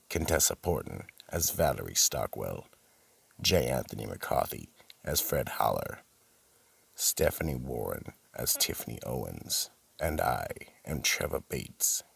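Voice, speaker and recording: A man playing a sinister storyteller who narrates a horror radio show in a gravelly, husky voice. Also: a bass voice, a bit bright, dry recording, distant mic, heavily acted. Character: sinister male storyteller, keeper of a horror vault. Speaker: narrator and host.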